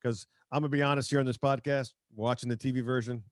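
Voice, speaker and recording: A man's voice: medium pitch, steady tone, fast, no echo, clean studio sound. A man hosting a podcast in casual, slangy sports chat over a remote video call. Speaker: podcast host.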